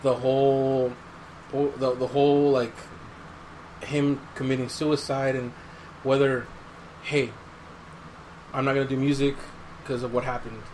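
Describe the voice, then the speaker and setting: Male podcast host, mid-pitched, relaxed chat style with storytelling, slight noise behind the voice. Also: unhurried, pauses often, low-quality recording. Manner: conversational, recounting stories, says dude. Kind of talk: casual chat. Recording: clear background noise.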